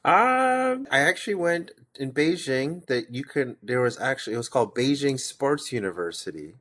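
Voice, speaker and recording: A man's voice: mid-range voice, animated, normal speed, a bit bright. A man in his thirties from the United States, a guest telling his life story in a casual interview, rambling with restarts and fillers.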